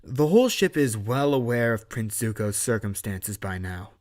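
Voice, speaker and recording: A man's voice: on the low side, animated, moderate pace, darkish tone, lightly acted. A man voicing a polite, loyal member of a ship's crew in a formal audio drama manner. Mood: courteous, reassuring.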